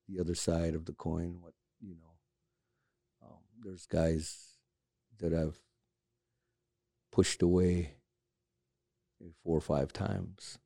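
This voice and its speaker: A very low, gravelly man from Alaska talks slowly and thoughtfully, pausing often and picking his words with many fillers. His voice stays flat and mellow, recorded close and dry. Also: no echo. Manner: reflective, halting with many fillers. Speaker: carver and studio owner. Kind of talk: documentary interview.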